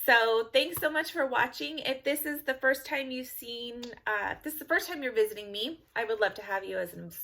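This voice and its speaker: A woman's voice: on the high side, fairly quick, gravelly, few pauses, sharp tone, slight background noise. A woman from California with a Californian accent, chatting casually to camera in a giggly, rambling way. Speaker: vlogger.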